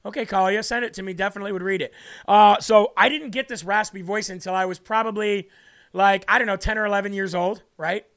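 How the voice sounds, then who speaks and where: A man with a raspy voice hosting a faith livestream, preaching and reading viewer comments aloud, often repeating phrases for emphasis. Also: high voice, fairly even intonation, fast talker, a bit bright. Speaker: host of a faith livestream show.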